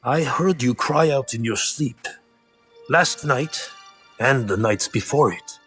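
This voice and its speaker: An actor playing a grave, gentle middle-aged father and martial mentor in a video game, measured and instructive. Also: medium pitch, expressive, faint music behind. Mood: grave concern. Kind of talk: dramatic video game cutscene acting.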